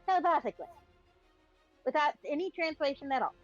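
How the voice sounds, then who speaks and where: A woman's voice: high voice, lively intonation, brisk. A woman chatting casually as a tabletop game player, thinking aloud hesitantly, on slightly dull call audio. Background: background music.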